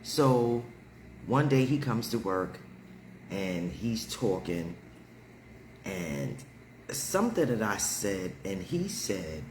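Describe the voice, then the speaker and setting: A man hosting a live stream, telling everyday stories in a casual, animated way with frequent laughter. He has a deep, heavy voice. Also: slow, long pauses, echoey room, slight background noise. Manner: animated, laughs often, talks straight to viewers. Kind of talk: casual storytelling chat.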